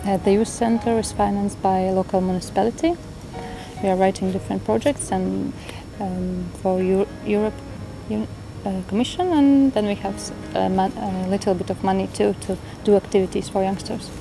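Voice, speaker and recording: Earnest young Latvian youth worker. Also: female voice, medium pitch, fairly even intonation, creaky, low-quality recording. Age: 20s. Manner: earnest, with hesitant pauses and fillers. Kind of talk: documentary interview. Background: background music.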